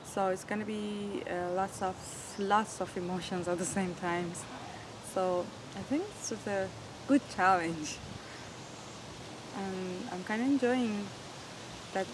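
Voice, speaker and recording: A young woman from Iran speaks in an earnest, searching way, with a mid-range voice. She feels for words in an as she shares her story. Traffic noise runs clearly behind her. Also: medium pitch, takes it slowly. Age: 20s. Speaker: interviewee. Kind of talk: personal storytelling.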